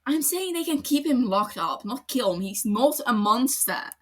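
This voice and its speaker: A woman voicing an earnest young male detective who is appalled and pleading, in a higher voice than her narration. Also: sing-song, normal speed. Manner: pleading, appalled, raised pitch. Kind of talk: podfic character reading.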